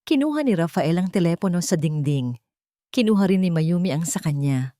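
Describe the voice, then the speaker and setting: Woman, Filipino accent, dramatic story narration, mellow tone, dry close recording. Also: lowish voice, animated, brisk, dry recording.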